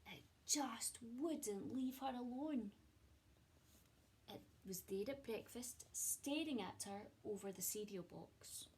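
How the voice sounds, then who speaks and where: A woman reading a children's picture book aloud in an expressive storytelling way, as a teacher speaking to young listeners. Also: on the high side, takes it slowly, breathy edge.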